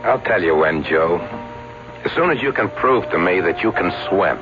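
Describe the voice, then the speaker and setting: An actor in a radio drama playing a wry, skeptical show-business agent with a dry, sarcastic manner. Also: male voice, sits low, lively intonation, moderate pace. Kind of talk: old-time radio drama acting. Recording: dull radio recording, loud music.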